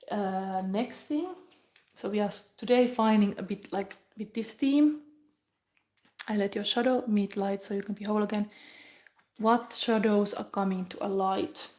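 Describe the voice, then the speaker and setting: Soft-spoken Finnish-accented woman reading tarot. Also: mid-range voice. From Finland. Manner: rambling and musing, often drops to a soft whisper. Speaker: tarot reader. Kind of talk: live pick-a-pile tarot reading chat.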